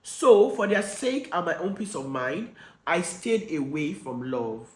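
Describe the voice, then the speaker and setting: A man with a croaky cold voice reading the letter of a hurt, guarded woman in her late forties. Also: fairly low pitch, big pitch swings, unhurried, reverberant. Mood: confessional. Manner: earnest, confessional reading.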